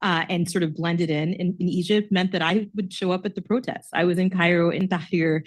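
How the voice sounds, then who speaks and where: A woman in her forties from Texas speaks on a discussion panel as a seasoned diplomat, in a slightly low voice. She talks quickly and reflectively and often pauses on fillers. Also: steady tone. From Texas, United States. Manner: reflective, fast-talking with many fillers.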